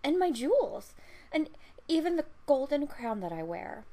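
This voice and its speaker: A woman's voice: high voice, sing-song, a little slow, mellow, pitched above the performer's own voice. Woman reading as a haughty young storybook princess. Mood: eager promising. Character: vain young princess, emotional and dismissive. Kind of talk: fairy-tale storybook reading.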